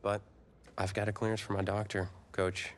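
A man's voice: on the low side, little pitch movement, normal speed, slight background noise, lightly acted. A man playing a determined young football receiver in a video game cutscene, speaking tersely and confidently with an American accent. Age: young adult. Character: determined young college-bound football receiver back from illness.

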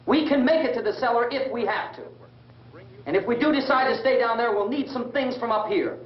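A man's voice: extremely high pitch, a little fast. An actor playing an earnest, reasonable young American man in an old horror film, a polite, pleading peacemaker. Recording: echoey, dull old film soundtrack, distant.

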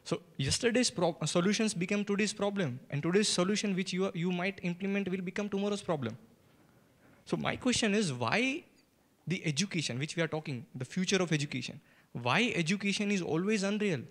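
Male forum participant, high voice, insistent and repetitive, challenging off the cuff. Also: lively intonation, fairly quick, a bit bright. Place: lecture hall.

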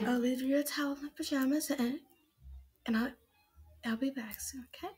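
A woman voicing a caring, motherly young woman in a comfort roleplay. She speaks softly and hushed, drifting into whispers and often repeating words. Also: high voice, normal speed, husky. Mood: gentle, tender. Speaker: ASMR roleplay performer.

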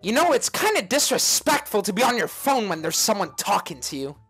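A woman putting on a giggling, unhinged male clown villain voice, with shrill cackles and sudden shouts, pranking strangers in a voice chat. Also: sing-song, brisk, slightly rough, soft background music, full character voice. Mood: mocking.

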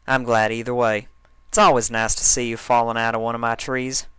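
A man's voice: medium pitch, little pitch movement, brisk, a bit bright, clear, resonant tone, no room sound, pitched above the performer's own voice, lightly acted. A man voicing a confident, teasing female farm pony with a folksy manner in a story reading. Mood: friendly, confident.

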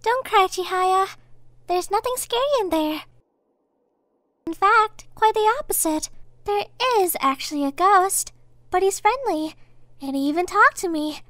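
A woman's voice: extremely high pitch, fairly bright tone, roomy sound. A woman voicing a sweet, earnest, easily startled schoolgirl in a horror game fan dub, with a girlish voice. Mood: bright, reassuring. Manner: earnest, halting when nervous. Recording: music behind the voice.

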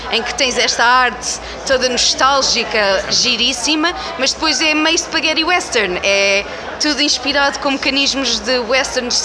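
A woman in her twenties with a European Portuguese accent, giving enthusiastic, emphatic answers in a casual interview. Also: a little high. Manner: enthusiastic, effusive, emphatic repetition. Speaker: game publisher community manager, interviewee. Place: indoor festival venue, by a booth wall. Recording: loud busy event-floor noise.

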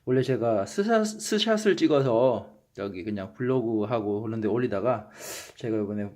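A man's voice: mid-range voice, lively intonation, moderate pace, darkish tone. A man with a Gyeongsang accent hosting a casual unboxing vlog, joking at his own expense and tripping over his words. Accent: Gyeongsang Korean. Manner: joking, self-mocking, trips over words. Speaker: channel host.

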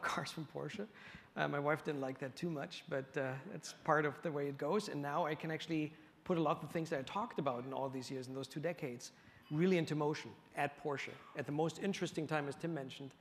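A man's voice: a little high, fairly bright tone, in a large hall, slight background noise. A man introducing himself on a panel stage in an upbeat, conversational way. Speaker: panel guest, company executive. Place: stage in an event hall. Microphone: lapel mic.

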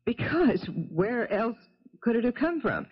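A woman's voice: a little high, big pitch swings, unhurried, slightly rough, heavily acted. An actress playing a defensive, scheming widow in a 1970s radio mystery, curt and haughty as she challenges questions. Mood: startled, suspicious. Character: sharp-tongued, defensive, scheming widow under police questioning. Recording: dull, lo-fi 1970s radio broadcast.

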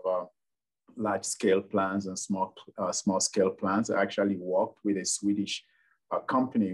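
A middle-aged man from Nigeria, a city planning director answering interview questions in a courteous, measured way over a video call. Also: sits low, low-quality recording.

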